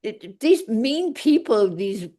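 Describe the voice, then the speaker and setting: A woman's voice: a little high, big pitch swings, slow pace, dark tone. An older woman chatting casually in a group, blunt and rambling in the way she talks.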